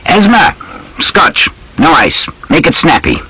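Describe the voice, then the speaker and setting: A man doing a brash, clipped army major, higher-pitched. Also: huge pitch swings, slow pace, bright, forceful tone, breathy edge, exaggerated voice, poor audio. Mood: brusque. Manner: clipped, abbreviated speech, bluff and slangy. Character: brash, grumbling, hard-drinking British army major, small and thin. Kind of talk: audiobook character dialogue.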